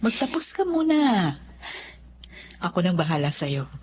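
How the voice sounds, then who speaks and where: Low-voiced, kindly Filipino attorney. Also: female voice, sing-song, a little slow, a little mellow, highs cut off, a little hiss or hum. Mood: encouraging. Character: kindly, encouraging woman attorney, a mentor. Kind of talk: Filipino radio drama acting.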